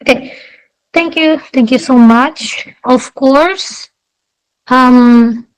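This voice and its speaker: Slow-speaking young Angolan woman debater. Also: high voice, clear, resonant tone, low-quality recording. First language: Portuguese. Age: young adult. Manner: earnest, reads out statistics point by point. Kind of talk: debate speech.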